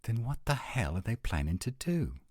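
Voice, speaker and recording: A man's voice: lowish voice, sing-song, moderate pace, a little mellow, no room sound, studio quality. A man playing a testy, headstrong werewolf, terse and challenging, in an audio roleplay drama. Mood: tense, urgent. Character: testy, headstrong male werewolf, protective of his pack.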